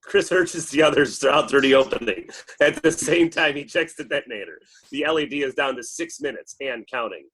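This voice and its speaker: A man's voice: medium pitch, normal speed, fairly bright tone, husky, poor audio. A man reading stage directions as dramatic scripted narration at a table read.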